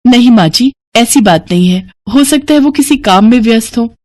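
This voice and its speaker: A man's voice: extremely high pitch, lively intonation, moderate pace, darkish tone, no room sound, pitched above the performer's own voice. A man voicing an anxious, respectful young village daughter-in-law in a moral story cartoon.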